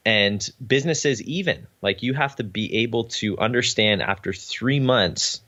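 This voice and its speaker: A man's voice: lowish voice, measured pace, bright, forceful tone, muffled recording. A young Canadian man, a podcast guest, talking earnestly in a casual interview with frequent ums. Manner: earnest, uses many ums.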